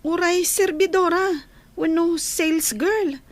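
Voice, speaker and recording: An actress plays a frustrated young mother in an Ilocano radio drama. Her voice sits very high, and she argues like a wife who has had enough. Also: slow pace, no echo. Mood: complaining.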